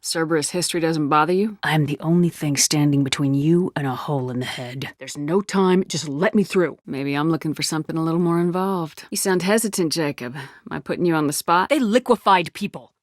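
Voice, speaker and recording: A woman's voice: sits low, a little fast, few pauses, no echo, studio quality. A woman voicing a tough, commanding female space soldier in a video game, with a firm, blunt, controlled delivery. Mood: probing, wry.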